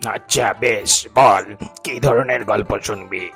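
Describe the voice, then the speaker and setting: A man playing a kindly old grandfather in a children's cartoon, speaking in a familiar, gently instructive way. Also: fairly low pitch, slow, gravelly, faint music behind, full character voice. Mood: indulgent, relaxed. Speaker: storyteller who frames the tale. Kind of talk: slow cartoon dialogue with children.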